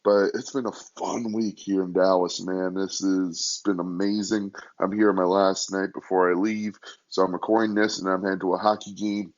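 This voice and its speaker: Hoarse young male podcast host, deepish voice, chatty and rambling, kept quiet, dull lo-fi mic. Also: little pitch movement, moderate pace, hardly any pauses. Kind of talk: casual solo podcast. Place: hotel room.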